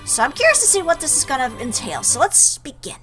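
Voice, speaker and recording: Animated, bright high-voiced woman gamer. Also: fast, soft background music. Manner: chatty, playful, reacts aloud to the game. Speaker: let's play host. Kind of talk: gaming commentary with read-aloud game text.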